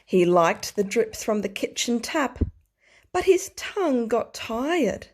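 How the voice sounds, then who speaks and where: A woman teacher reading aloud to young children, explaining things simply and deliberately and putting questions to them. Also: on the high side, very animated. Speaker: early primary school teacher.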